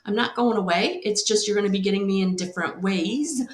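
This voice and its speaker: A congested woman with a cold chats loosely to her live-stream viewers, drifting from thought to thought with plenty of ums. Her voice sits lower than usual and at a middling pitch. The room around her rings noticeably. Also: a little fast, roomy sound, a little hiss or hum. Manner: rambling, many ums, greets viewers by name. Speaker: oracle card reader. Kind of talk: casual live-stream chat.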